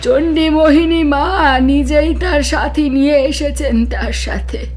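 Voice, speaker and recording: Devout village aunt played by a woman, horror audio drama. High, very slow, solemn proclamation over music. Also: steady tone, darkish tone. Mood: solemn, awed.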